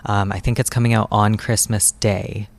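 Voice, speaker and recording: A man's voice: on the low side, level tone, moderate pace. A young man answering questions casually as an interview guest. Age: young adult. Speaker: student theater production member, interviewee.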